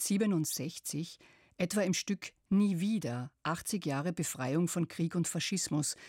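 A narrator reading a scripted radio feature in a calm, measured way. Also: medium pitch, no echo, studio quality.

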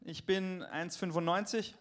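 A man's voice: high-pitched, fairly even intonation, a little slow, heavy background noise. A young man from Vienna with a Viennese accent doing a joking stand-up set, talking to the crowd on a club stage. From Vienna, Austria. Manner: loose, joking, talks to the crowd. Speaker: stand-up comedian.

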